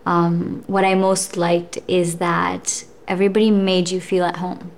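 Young actress, thoughtful interview guest. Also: female voice, lowish voice, flat delivery, measured pace, a little mellow. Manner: thoughtful, with frequent fillers and laughs. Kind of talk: casual interview chat.

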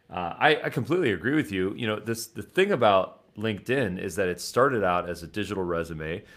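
Low-voiced guy doing a chatty interview, kinda restarts his questions and thoughts as he goes. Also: a bit bright. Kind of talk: conversational interview.

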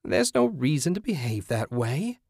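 A man voicing a menacing, sanctimonious, depraved woman in an audiobook, with a raspy, taunting delivery. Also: mid-range voice, very animated, darkish tone, no room sound, clean studio sound, pitched above the performer's own voice. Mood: scolding menace. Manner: taunting, sinister, drawn-out threats. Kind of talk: dramatic audiobook character voice.

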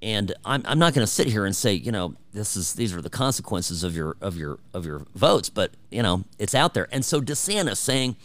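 Animated deep-voiced male talk radio host. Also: brisk, hardly any pauses. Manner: opinionated, emphatic, conversational. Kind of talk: conservative talk radio monologue. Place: radio studio.

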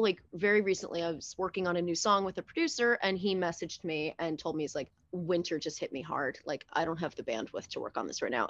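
A young woman as a podcast guest in casual chat, animated and profane, full of quick jokes and fillers. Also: fairly low pitch, no echo, dull audio.

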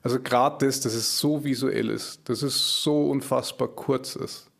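A man's voice: mid-range voice, steady tone, measured pace, vocal fry, a bit bright. A man hosting a podcast interview, speaking thoughtfully and often searching for words as he goes. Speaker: podcast host. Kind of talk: interview conversation.

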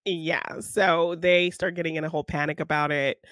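A woman's voice: deep voice, fairly even intonation, normal speed, a bit bright, no echo. Giggly woman co-hosting, dry close sound. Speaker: podcast co-host. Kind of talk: casual film discussion.

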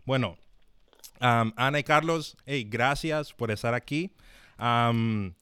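A man's voice: mid-range voice, slow pace, a bit bright, clear, resonant tone, no room sound. A man hosting a live-streamed interview, talking with many fillers and restarts. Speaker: host.

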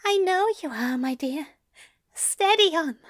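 A woman voicing a tender, fussy gentleman angel in audio fiction, with a prim, gently coaxing manner. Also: high voice, lively intonation, measured pace. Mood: fond, coaxing. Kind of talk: audio fiction character acting.

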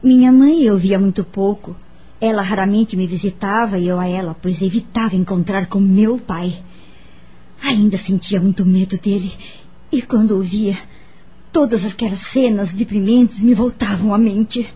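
A woman playing a gentle, wounded but forgiving adult daughter who recounts her family past in a radio drama, measured and heartfelt. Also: medium pitch, husky, lightly acted. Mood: sorrowful, reflective. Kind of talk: dramatised first-person narration, radio drama. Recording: background music under the voice.

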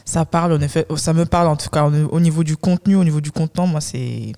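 A woman's voice: low voice, fast, warm tone. A woman hosting a casual podcast interview, asking questions in an enthusiastic, complimentary way with some hesitant restarts. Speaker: podcast host.

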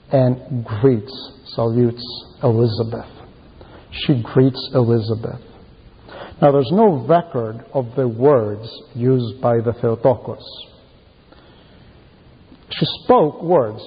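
A man preaching a sermon in an emphatic, deliberate manner, repeating key phrases for emphasis. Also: mid-range voice, slightly rough. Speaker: preacher reading a translated homily. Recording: muffled, very reverberant hall sound.

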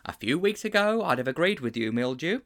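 A man's voice: a little high, fairly quick, pitched above the performer's own voice. A man voicing an earnest, anxious older schoolteacher who speaks formally and a little fussily. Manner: formal, slightly fussy, animated.

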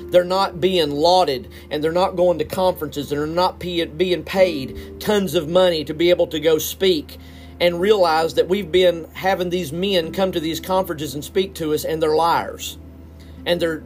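A man hosting his own podcast, speaking in an earnest, indignant tone as he delivers solo commentary. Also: on the high side. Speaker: podcast host.

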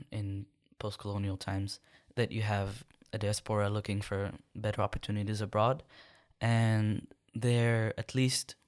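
Young adult man, podcast guest, low voice, careful and thoughtful delivery. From Sudan. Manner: thoughtful, measured, many pauses and fillers. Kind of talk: conversational interview answers.

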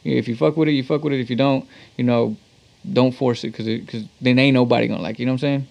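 A man's voice: mid-range voice, steady tone, quick pace, creaky, darkish tone, lo-fi sound. A man from Texas with an American accent chatting casually as an interview guest, telling stories in a slangy, laid-back way with some swearing. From Texas, United States. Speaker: musician and producer, interview guest.

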